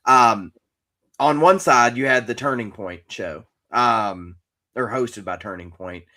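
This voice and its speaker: A man with a medium-pitched voice and a bright, pressed edge talks as a podcast co-host. His delivery is conversational and measured, explaining and qualifying each opinion as he goes.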